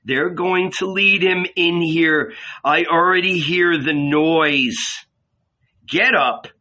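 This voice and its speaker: Storybook innkeeper, played by a male narrator: coarse, angry, blunt swagger, sharp forceful delivery. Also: a little high, slow pace, lo-fi sound, voice pushed up. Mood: brash, goading. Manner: bellowing, cursing, scornful.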